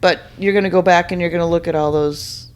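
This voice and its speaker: A woman's voice: on the low side, steady tone, fast talker, clean tone. A middle-aged woman, a mother guesting on her daughters' podcast, talking in casual, informal family chat.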